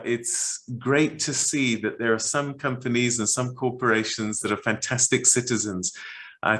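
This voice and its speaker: Male host, 50s, mid pitch, warm and halting, video call. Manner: warm, halting with many uh fillers. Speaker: webinar host. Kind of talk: hosting a charity webinar. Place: studio set, indoors.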